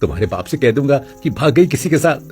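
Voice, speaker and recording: A man's voice: medium pitch, sing-song, quick pace, darkish tone, soft background music, lightly acted. A man playing a deceitful, gloating rich young husband, the villain of a story.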